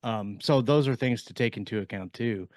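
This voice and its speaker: A man co-hosting a casual panel discussion, talking in a halting, hesitant way as he works toward his point. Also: on the low side, dry recording, studio quality. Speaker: podcast co-host.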